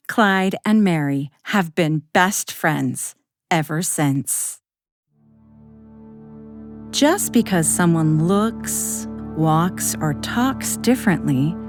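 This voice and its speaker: Slow-paced woman storyteller over music. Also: fairly low pitch. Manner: gentle, expressive, addressing young children. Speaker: children's storyteller and host. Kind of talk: bedtime story narration with guided breathing.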